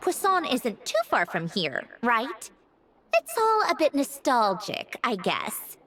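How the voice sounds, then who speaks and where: A woman voicing a calm, polite young female researcher and adventurer in a video game, with a thoughtful, matter-of-fact manner. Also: fairly high pitch, takes it slowly, full character voice.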